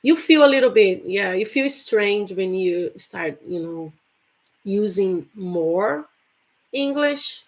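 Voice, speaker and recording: A woman's voice: mid-range voice, animated, slow pace. Chatty woman teaching English. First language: Portuguese. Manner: chatty, repeats example phrases with laughs. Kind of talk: live online English lesson.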